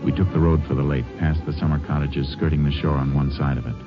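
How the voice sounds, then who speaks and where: An actor playing a calm, decisive Texas Ranger in a 1950s radio drama, with a clipped, authoritative manner. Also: male voice, a bass voice, fast talker. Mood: measured narrating. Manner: clipped, authoritative, matter-of-fact. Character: calm, decisive male Texas Ranger lawman, narrating and leading. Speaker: lead actor and narrator. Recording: dull old 1950s radio recording, music behind.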